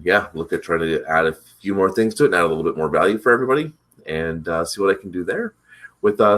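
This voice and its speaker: A man hosting a casual morning chat, rambling with many fillers, his voice congested and a bit rough from allergies. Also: low-pitched, a bit bright. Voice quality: congested, slightly rough throat. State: stuffed up from allergies. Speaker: vlog host.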